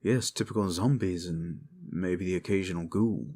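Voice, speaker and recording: A man's voice: low voice, normal speed, darkish tone, voice pushed down. A man playing a weary, modest and loyal knight-captain, sombre and earnest, in a fantasy audio drama.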